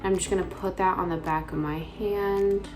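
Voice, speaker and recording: A young woman with a raspy voice, chatting casually through a makeup tutorial with lots of filler words. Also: mid-range voice, steady tone, normal speed, over music. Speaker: beauty vlogger.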